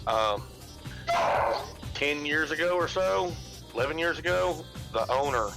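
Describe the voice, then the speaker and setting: A man's voice: on the high side, expressive, unhurried, gravelly, over loud music, rough recording. A man chatting casually on a gaming stream, telling a rambling work story in a plain way. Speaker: stream guest. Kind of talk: casual chat.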